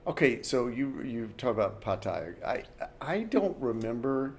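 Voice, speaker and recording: A middle-aged man from the United States hosting a relaxed chat, animated and jokey, with a mid-range voice. He rambles and often restarts his sentences. A faint room hum sits under his voice. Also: medium pitch, a little slow. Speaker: host. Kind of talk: casual interview chat.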